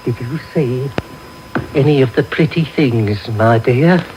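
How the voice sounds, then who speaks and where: A man's voice: mid-range voice, slow pace, lightly acted. An actor playing a sly, greedy old crook in a radio drama, fawning and muttering as he repeats his phrases. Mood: soothing, sly. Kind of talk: 1990s radio drama acting. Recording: noisy medium-wave radio broadcast taped off air.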